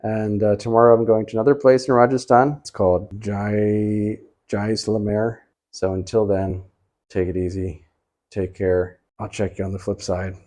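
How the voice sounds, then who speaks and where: Low-voiced, mellow man vlogging. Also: flat delivery, moderate pace. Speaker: travel vlogger. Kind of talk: casual vlog talk.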